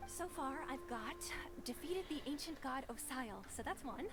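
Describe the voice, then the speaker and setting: A woman's voice: high voice, expressive, moderate pace. A woman playing a polite, starstruck young tournament registrar in a video game, flustered and deferential. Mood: flustered, admiring. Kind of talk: video game character acting. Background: music.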